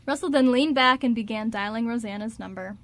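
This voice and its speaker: A woman's voice: a little high, a little fast, a bit bright. A woman reading scripted narration for a radio drama.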